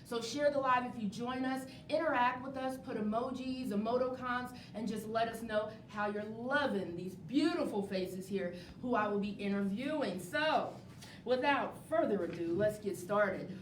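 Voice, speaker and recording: A woman enthusiastically hosting a live interview show, upbeat and encouraging, repeating phrases for emphasis. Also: normal speed. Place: indoor venue with a live studio audience. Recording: roomy, distant mic with clear rumbling noise.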